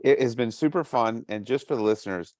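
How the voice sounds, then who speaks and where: A man's voice: medium pitch, a little fast, a little mellow, no room sound, clean studio sound. A man with a Southern American accent co-hosting a podcast, teasing his guests in a playful way. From Southern United States. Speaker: podcast co-host. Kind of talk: casual podcast chat.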